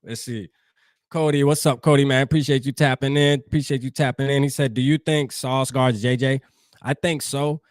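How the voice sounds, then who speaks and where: A man from New Jersey riffs through a casual livestream, swearing freely and calling out viewers in the chat. His voice sits at an ordinary pitch, and the sound is dry and close, with no room around it. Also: fairly even intonation, normal speed, dry recording. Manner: loose, profane, talks straight to chat. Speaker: fan show host. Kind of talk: casual livestream sports talk.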